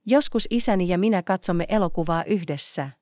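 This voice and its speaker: A woman voicing an earnest schoolgirl in a scripted language-learning story, speaking deliberately in simple phrases. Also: lowish voice, darkish tone, dry recording, close to an everyday voice. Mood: friendly, curious. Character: schoolgirls Lisa and Sarah, earnest classmates making up.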